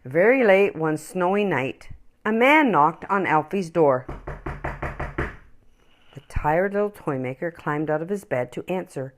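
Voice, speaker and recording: Female children's storyteller. Slightly low voice, highly varied intonation, expressive and emphatic delivery. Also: unhurried. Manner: animated, emphatic, dramatic phrasing.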